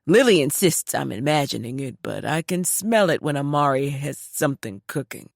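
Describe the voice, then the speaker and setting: A woman's voice: low voice, animated, normal speed, vocal fry, no echo, clean studio sound, heavily acted. Woman reading a wry, curious family man. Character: man in a men's group, curious about the kids.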